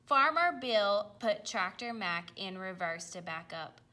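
A woman reading a children's picture book aloud, with careful, expressive delivery. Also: mid-range voice, a little slow. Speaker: storybook reader.

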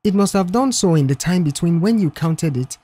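A man voicing a reserved young male mechanic in an audiobook, asking measured, probing questions. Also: high voice, expressive, warm tone, breathy edge. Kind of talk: audiobook character dialogue.

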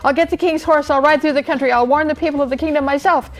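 A woman voicing an excitable young boy hero who speaks urgently and breathlessly, as if sounding an alarm in a storybook. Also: high-pitched, fast talker, slight background noise, pitched above the performer's own voice, natural voice. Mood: urgent, determined.

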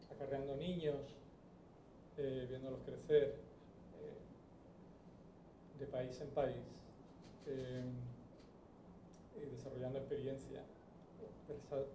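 A man's voice: mid-range voice, mellow, a little hiss or hum. A middle-aged man from Spain with a Spanish accent, a transplant surgeon giving a hesitant, reflective anniversary speech at a lectern. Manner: reflective, hesitant with many fillers. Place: large bright event hall. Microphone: lectern microphone. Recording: roomy hall audio picked up from a distance.

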